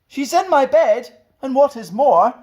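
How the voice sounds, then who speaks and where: Man putting on a high, indignant little storybook bear. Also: expressive, a little slow, darkish tone. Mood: indignant, tattling.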